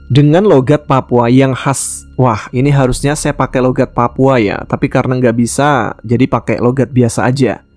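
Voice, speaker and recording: A man from West Kalimantan, Indonesia, telling a true-life ghost story in a calm, measured way, voicing the dialogue plainly. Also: mid-range voice, dry recording, soft background music. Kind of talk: first-person ghost story narration.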